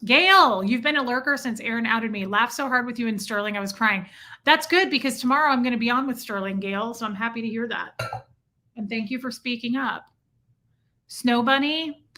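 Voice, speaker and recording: A woman's voice: fairly high pitch, moderate pace, pushed and bright. A woman hosting a casual livestream from home, chatting and reacting to viewer comments. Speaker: livestream host reading chat. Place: home room with a heater.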